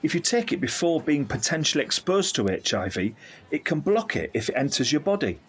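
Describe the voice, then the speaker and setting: An actor playing a candid, caring middle-aged uncle in an audio drama, speaking in an earnest, reflective, explanatory way. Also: male voice, on the high side, sing-song, fairly quick, husky. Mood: hopeful, informative. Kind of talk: scripted audio drama dialogue.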